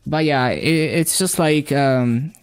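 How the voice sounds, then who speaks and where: Italian streamer, mishmash accent, rambly, says like a lot, middle pitch. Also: slow pace, clean tone. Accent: mixed, self-taught English accent. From Italy. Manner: rambling, lots of "like" and restarts. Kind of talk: casual live-stream chat while gaming.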